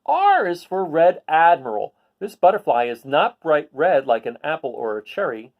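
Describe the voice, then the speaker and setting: A man's voice: on the high side, sing-song, moderate pace, phone-quality sound. A man reading a children's picture book aloud, adding casual asides and putting questions to his listeners. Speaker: read-aloud host.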